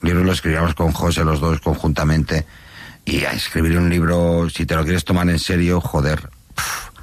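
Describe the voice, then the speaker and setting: Guest spot: a Spanish comedian, man, with a very deep, low voice and a dry, close sound, who talks loosely, swears and drops deadpan jokes. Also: normal speed, no room sound. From Spain, born in Barcelona. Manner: joking, swears freely, deadpan asides. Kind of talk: casual interview chat.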